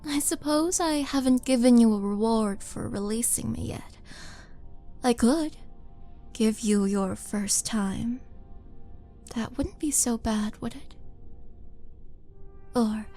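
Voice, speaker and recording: A woman's voice: on the high side, mellow. A woman voicing a towering, bloodthirsty half-orc murderess in an intimate audio roleplay, possessive, teasing and dramatic, sometimes dropping toward a whisper. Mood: seductive, softening. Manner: slow, dramatic, menacing and taunting, breathy dips into whisper. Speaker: roleplay voice performer. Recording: background music under voice.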